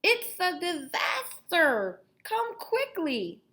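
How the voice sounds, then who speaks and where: A woman's voice: very high voice, huge pitch swings, extremely slow, pitched above the performer's own voice, heavily acted. A woman voicing a dramatic old grandfather who has lost his false teeth, talking in a gummy, lisping way. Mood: panicked. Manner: gummy lisping speech, drawn out and shouted.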